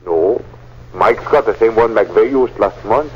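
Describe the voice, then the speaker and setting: An actor playing a plain rural witness in an old-time radio drama, speaking in a halting, hesitant way. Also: male voice, lowish voice, husky. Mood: hesitant, earnest. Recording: dull, noisy old radio recording.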